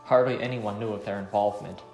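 A man's voice: lowish voice, fairly even intonation, fast talker, gravelly, darkish tone. A man voicing a resolute, regretful, war-weary wanderer in a visual novel. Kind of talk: visual novel dialogue read aloud. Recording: roomy sound, faint music.

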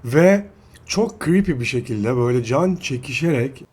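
A man giving casual, slangy film commentary as a video reviewer, halting and correcting himself as he goes. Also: mid-range voice, lively intonation, a little mellow, slightly rough. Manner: halting, self-correcting delivery.

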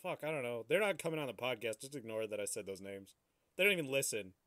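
A man's voice: medium pitch, expressive, fast, over a noisy crowd. A young adult man co-hosting a podcast in casual, crude, profane banter. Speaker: podcast co-host.

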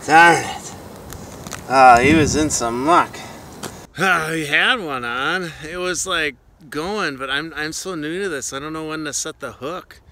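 A man in his forties filming himself ice fishing outdoors with a handheld phone, chatting in an excitable, self-deprecating way. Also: fairly high pitch, unhurried, a little hiss or hum. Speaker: fishing vlogger. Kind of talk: casual vlog narration. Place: outdoors on a frozen pond. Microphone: phone camera at arm's length.

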